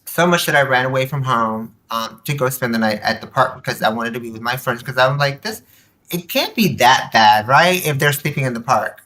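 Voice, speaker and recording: A man's voice: mid-range voice, a little fast. A man speaking as a podcast guest, telling personal stories casually, acting out bits of dialogue and tossing in rhetorical questions. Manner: animated retelling with quoted dialogue and rhetorical questions. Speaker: podcast guest, community activist.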